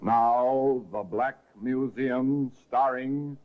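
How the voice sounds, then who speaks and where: A man announcing a 1950s radio mystery series in a brisk, formal way. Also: mid-range voice, fairly even intonation. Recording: tinny 1950s radio broadcast with faint music.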